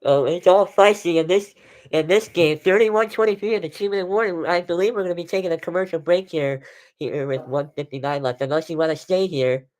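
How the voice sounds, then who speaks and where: A man's voice: high voice, a little fast, a little mellow. A man hosting an amateur live football stream, calling the plays in a conversational, informal way. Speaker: play-by-play host of an online sports stream.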